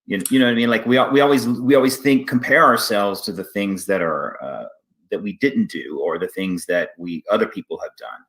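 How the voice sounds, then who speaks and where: A man hosting a casual interview, speaking haltingly and often restarting his sentences. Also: fairly low pitch, fairly bright tone. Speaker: interview host, theater artistic director.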